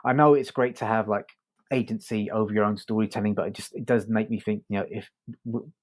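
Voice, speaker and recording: A man's voice: fairly low pitch, fairly even intonation, brisk. A man co-hosting a podcast, talking casually through a review with hesitant, tentative phrasing. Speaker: podcast co-host reviewing audio fiction.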